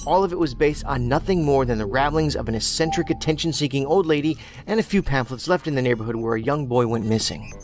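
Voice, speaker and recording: An actor playing an analytical investigator in an audio drama, dry, precise and inquisitive. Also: male voice, mid-range voice, fast, hardly any pauses, a little mellow, soft background music, natural voice. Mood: dry, probing. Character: male investigator, analytical, dry, probing skeptic.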